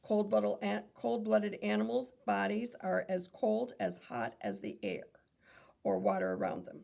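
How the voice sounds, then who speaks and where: A woman reads a children's fact book aloud in a medium-pitched voice with noticeable vocal fry. She sounds like a teacher, posing questions, adding asides and correcting herself as she goes. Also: lively intonation, a little slow, darkish tone. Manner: teacherly, asks questions and answers them, self-correcting. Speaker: read-aloud reader and teacher.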